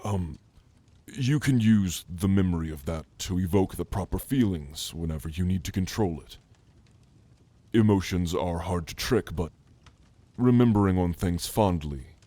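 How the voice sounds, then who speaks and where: A man playing a gentle, scholarly orc mage in a soft, earnest, halting ASMR roleplay, speaking intimately to the listener. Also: sits low, no echo. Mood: bashful, earnest.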